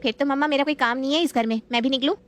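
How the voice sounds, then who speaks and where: A woman plays a pampered young married sister in a domestic story, chirpy, proud and quick to take offence. She chatters emphatically and fast in a fairly high voice. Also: steady tone, natural voice. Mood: miffed. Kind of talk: scripted family story acting.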